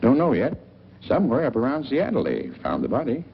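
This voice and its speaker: A man's voice: mid-range voice, wildly swooping pitch, brisk, creaky, slightly rough, full character voice. An actor playing a wry, gossipy small-town newspaperman, talking in a folksy 1940s film manner. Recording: dull, noisy old film soundtrack.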